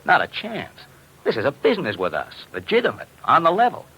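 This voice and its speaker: Bright, forceful hard-boiled bookie boss. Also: male voice, fairly high pitch, huge pitch swings, fairly quick, slightly rough, exaggerated voice. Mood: insistent. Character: exasperated, hard-boiled male bookmaking boss. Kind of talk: 1940s radio drama acting. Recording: faintly noisy old radio recording.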